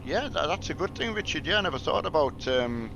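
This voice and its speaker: A man chatting casually in a rambling, halting way as he livestreams a driving game. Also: animated, gravelly, muffled recording. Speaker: game streamer. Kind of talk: casual livestream chat while playing a truck game. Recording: loud vehicle engine rumble behind. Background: truck game engine and road noise.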